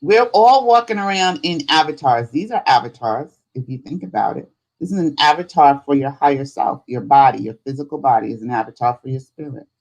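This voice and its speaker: A woman hosting a live spirituality stream, chatting casually in an unhurried, rambling way. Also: rumbling low, darkish tone, low-quality recording. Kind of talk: casual livestream chat.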